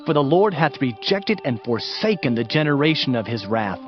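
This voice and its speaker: A man's voice: medium pitch, big pitch swings, moderate pace. A man narrating a dramatized Bible reading in a solemn, emphatic, declamatory manner. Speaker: scripture narrator. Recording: slightly muffled, music underneath.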